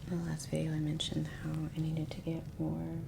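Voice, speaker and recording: Woman, soft-spoken ASMR, mostly whispering, flat intonation, long unhurried pauses, clear background heater hum. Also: low voice. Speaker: ASMR artist and video host. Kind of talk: soft-spoken ASMR chat while painting. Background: heater hum, soft brush sounds.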